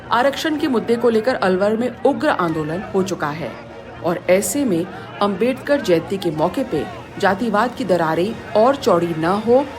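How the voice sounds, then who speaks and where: A woman narrating a scripted television news report as its reporter. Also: mid-range voice.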